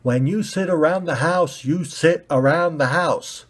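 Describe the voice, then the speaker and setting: A man's voice: a little high, measured pace, pitched above the performer's own voice. A man voicing a smug, rude, self-righteous storybook red ball, with a condescending, emphatic delivery. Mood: taunting.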